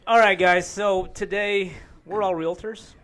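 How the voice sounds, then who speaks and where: Man, podcast host, teasing, joking, slightly high voice. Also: steady tone, a little slow, a bit bright. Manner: joking, teasing his guests. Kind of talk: casual podcast chat.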